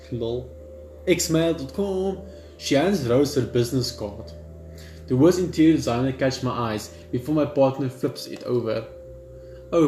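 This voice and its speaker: A man hosting a gaming video reads on-screen story text aloud, haltingly, repeating words and adding casual asides. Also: medium pitch, darkish tone. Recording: echoey room with faint game music. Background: game music.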